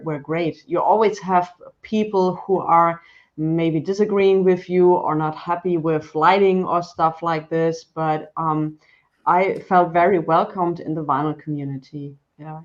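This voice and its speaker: A woman's voice: low voice, fairly even intonation, unhurried, dull audio. A woman in her forties speaking as a panel guest on a video call, direct and self-assured. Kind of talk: casual panel chat.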